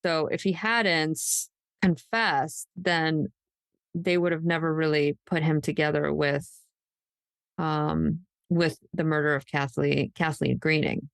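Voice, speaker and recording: A woman's voice: deep voice, fairly even intonation, slow, gravelly, dry recording. A woman co-hosting a true crime podcast, recounting a case in a conversational storytelling style.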